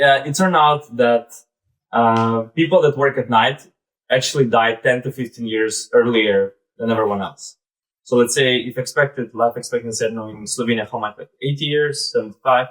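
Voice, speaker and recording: A man in his thirties with a Belarusian accent gives an informal live presentation in a halting, conversational way, often saying "like" and "basically". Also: mid-range voice, a bit bright, low-quality recording. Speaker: presenter, startup founder.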